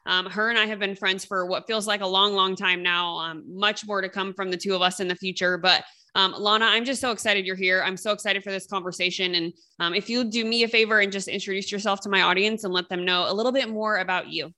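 A woman hosts a casual podcast interview with a bright, forceful voice. She gushes over her guest and keeps checking in with "right?" as she talks. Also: mid-range voice, fairly even intonation, fast. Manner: gushing, enthusiastic, lots of fillers and "right?". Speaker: podcast host.

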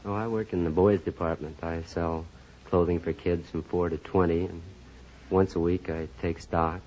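A man's voice: sits low, level tone, moderate pace, a little mellow. A twenty-five-year-old man answering questions as a contestant on a radio quiz show. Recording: muffled old radio recording, faint noise and audience. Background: live studio audience.

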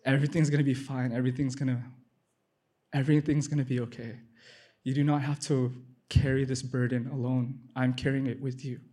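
A man's voice: mid-range voice, a little mellow. Man, earnest, repeats for emphasis, personal testimony. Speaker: testimony speaker.

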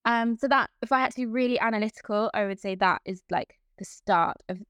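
A woman's voice: fairly high pitch, a little fast, a bit bright, clean tone, no echo, clean studio sound. A young woman from Hong Kong, a guest in an interview, chatting about her path into events with frequent fillers and restarts. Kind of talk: casual interview.

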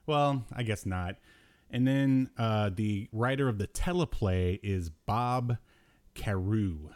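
A man's voice: on the low side, slow, darkish tone. A man hosting a podcast in casual chat, riffing jokily and with exasperation. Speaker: podcast host. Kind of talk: casual chat about old cartoons.

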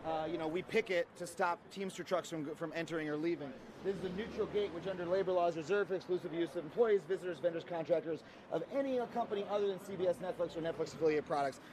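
Man, fairly high voice, rapid casual explaining, informal and emphatic, outdoor street recording with crowd noise. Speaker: picket line explainer.

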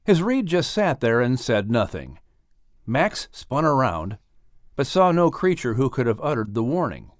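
A male audiobook narrator with a mid-pitched voice tells a children's story. His delivery is animated and dramatic, with careful phrasing and a dry studio sound. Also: unhurried, no echo. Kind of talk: expressive scripted storybook narration.

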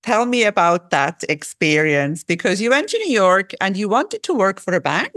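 A woman hosting a podcast in Cyprus, upbeat and quick to laugh as she interviews her guest. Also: mid-range voice, sing-song, fairly bright tone, no room sound, clean studio sound. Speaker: podcast host.

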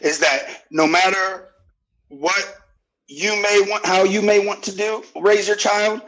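A man with a loud, deep voice talking earnestly in a casual podcast discussion, often restarting his phrases. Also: fairly even intonation, slow, low-quality recording. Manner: earnest, restarts phrases, keeps asking "you know what I'm saying". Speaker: podcast guest.

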